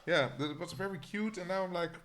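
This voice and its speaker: A man with a Dutch accent co-hosting a podcast in casual chat, playful and quick to laugh. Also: sits high, a bit bright. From Netherlands. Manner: chatty, laughs often, playful quotes. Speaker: podcast co-host.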